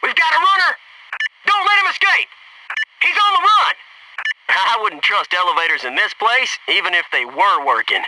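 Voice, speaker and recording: A young American Navy SEAL for a shooter game, cocky and wry. His voice is high and very animated, with punchy, eager wisecracking. It sounds set back from the mic, with faint noise. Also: normal speed, recorded from a distance. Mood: urgent shouting, then wry, joking. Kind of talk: video game military voice acting.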